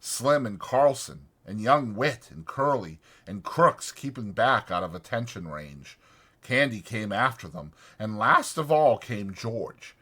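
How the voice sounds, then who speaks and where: A man's voice: fairly low pitch, animated, measured pace, sharp tone, slightly rough. A man reading a story as an audiobook narrator, in a dramatic scripted style.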